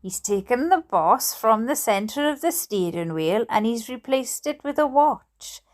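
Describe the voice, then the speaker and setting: A woman's voice: on the high side, lively intonation, a little slow. A Welsh woman voicing an enthusiastic, oblivious, chatty father in a storybook. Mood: amazed. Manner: eager, chatty. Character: enthusiastic, easily fascinated dad, cheerfully oblivious.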